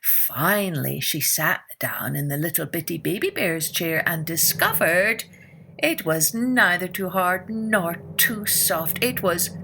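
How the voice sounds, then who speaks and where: A woman's voice: fairly low pitch, slow pace, gravelly, noisy background. A woman narrating a children's fairytale as a podcast storyteller, expressively and with dramatic emphasis.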